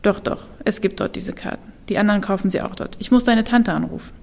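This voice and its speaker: A woman's voice: mid-range voice, creaky, a little mellow, close to an everyday voice. A woman voicing her insistent, anxious middle-aged immigrant mother, firing off urgent requests in a reverberant hall. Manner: urgent, demanding, rapid requests.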